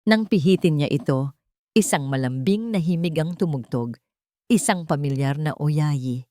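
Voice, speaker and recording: A woman narrates a romance tale with measured drama. Her voice sits a little low and has a soft, mellow tone. The recording is dry and close, with very little room sound. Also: no echo. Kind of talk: scripted romance story narration.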